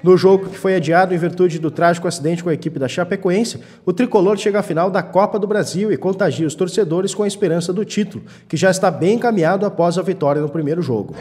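A man's voice: high voice, fast talker, few pauses, darkish tone, slight background noise. A man reading scripted news reports in voice-over. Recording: long reverb on voice-over.

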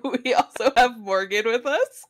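A woman's voice: a little high, a little slow. A woman co-hosting a casual livestream game show, laughing often between phrases.

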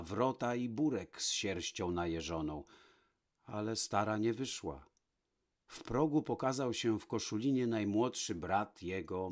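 A man narrating a fairy tale from a script, with measured, dramatic pauses. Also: fairly low pitch. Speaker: storyteller, narrator.

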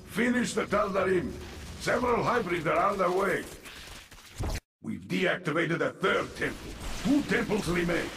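A gravelly Russian-accented infested admiral. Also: male voice, high-pitched, expressive, a bit bright, reverberant, in a large hall, far from the mic, slight background noise, exaggerated voice. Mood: commanding. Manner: formal, measured, grave. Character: brooding infested male admiral, half man, half alien monster. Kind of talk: dramatic video game acting.

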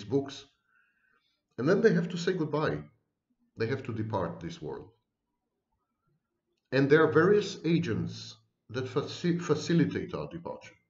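Ironic man lecturing, lively pitch. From Israel. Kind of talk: monologue to camera. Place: home room. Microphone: webcam. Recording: roomy, dull webcam sound.

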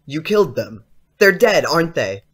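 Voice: medium pitch, big pitch swings, normal speed, fairly bright tone, husky. A performer playing a frightened, defiant young boy in an audio play, questioning and alarmed. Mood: wary, accusing.

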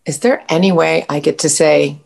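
A woman's voice: low voice, fairly even intonation, normal speed, vocal fry, a little mellow, husky, full character voice. A woman playing an eager, shallow customer in a comedic script read.

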